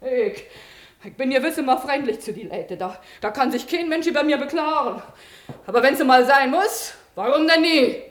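A woman's voice: high-pitched, normal speed, close to an everyday voice. An actress playing a sly, chatty washerwoman who speaks folksy, ungrammatical dialect. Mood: indignant. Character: sly washerwoman Frau Wolf, village gossip. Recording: roomy studio echo.

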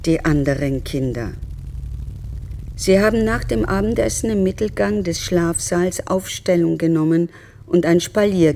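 Slow, grave woman storyteller. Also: lowish voice, dark tone, slight background noise. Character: grave woman recounting cruel boarding-school punishment. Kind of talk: radio drama monologue.

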